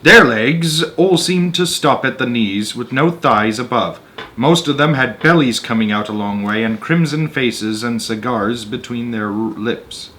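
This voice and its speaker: A man's voice: mid-range voice, measured pace, sharp tone, slight background noise. A man reading a children's story aloud as narrator, stepping out for casual, conversational comments on the text. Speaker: narrator and host of a story-time show.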